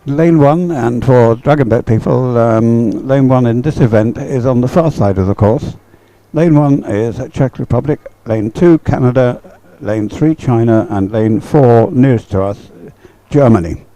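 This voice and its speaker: A man giving live race commentary, explaining things in halting phrases. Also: mid-range voice, dark tone, no echo, rough recording.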